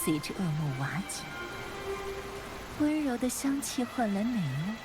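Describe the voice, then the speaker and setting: A woman voicing a gentle, alluring castle lady in an anime-style game, with a soft, soothing manner. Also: medium pitch, big pitch swings, a little slow. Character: gentle, alluring castle lady who tends poisonous plants. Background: game music.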